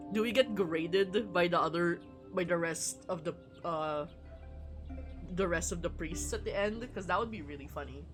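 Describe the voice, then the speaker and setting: A woman livestreaming a visual novel, chatting casually in a wry, rambling way and reading game text aloud. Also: fairly low pitch. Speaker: streamer playing a visual novel. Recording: music behind the voice. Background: music.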